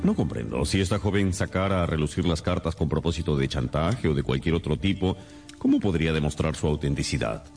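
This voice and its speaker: An actor playing a brilliant, inquisitive detective in a radio drama, brisk and wry, theatrically musing and questioning. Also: male voice, a bass voice, animated, a little mellow, soft background music. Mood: brisk, skeptical.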